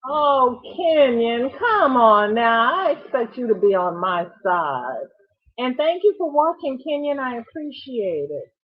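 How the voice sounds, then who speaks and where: A congested woman hosting a casual crochet livestream, chatting warmly and greeting viewers by name as she reads their comments. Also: on the high side, very animated, unhurried. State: congested, recovering from a cold. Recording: tinny phone-like sound.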